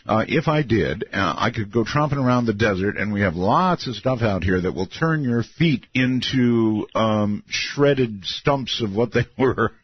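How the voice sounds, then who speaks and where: An older man with a low, husky voice hosts a late-night radio talk show. He asks long, meandering questions and chuckles easily. The sound is muffled and lo-fi, like an old broadcast archive. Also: lively intonation. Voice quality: deep voice. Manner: laughs often, drawn-out questions.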